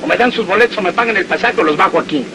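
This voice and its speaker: Exasperated ticket collector, very high-pitched. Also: male voice, racing. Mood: impatient, demanding. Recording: noisy old film soundtrack.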